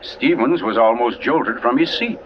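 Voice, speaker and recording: A man playing an urbane mystery writer who narrates a sinister tale in theatrical, deliberate 1940s radio style. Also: mid-range voice, natural voice. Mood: suspenseful. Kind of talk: 1940s radio suspense acting. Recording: muffled vintage radio recording with music.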